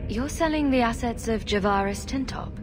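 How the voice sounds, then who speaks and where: A woman playing a calm, diplomatic adventurer heroine in a video game, courteous and direct. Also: on the high side, normal speed, over music, natural voice. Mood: composed, reassuring. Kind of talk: video game cutscene dialogue.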